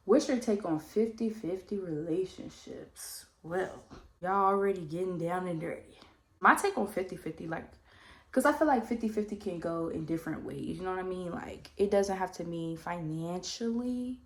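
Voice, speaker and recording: A woman around twenty, a little congested, chatting casually and rambling while doing her makeup for a vlog Q&A. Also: on the low side. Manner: rambling, relaxed, heavy use of "like" and "you know what I mean". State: slightly congested, coming down with a cold. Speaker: vlogger. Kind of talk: casual get-ready-with-me Q&A chat.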